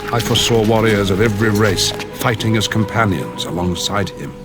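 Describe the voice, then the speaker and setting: Deep, solemn old wizard. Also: male voice. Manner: solemn, portentous. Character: grave, wise old male wizard narrating war plans. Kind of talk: dramatic scripted narration. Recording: orchestral music behind the voice.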